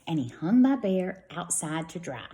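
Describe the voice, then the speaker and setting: Animated woman reading to children. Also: fairly low pitch, unhurried. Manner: expressive, speaking to young children. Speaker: kindergarten teacher reading to pupils. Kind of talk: animated storybook read-aloud with casual asides.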